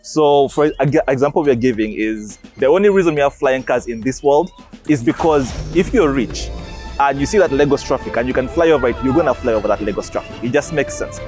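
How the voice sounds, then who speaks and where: A man talks quickly and emphatically in an interview, in a medium-pitched voice. He explains his creative work, with faint music underneath. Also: lively intonation, a little mellow. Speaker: animation creator. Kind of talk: interview answers.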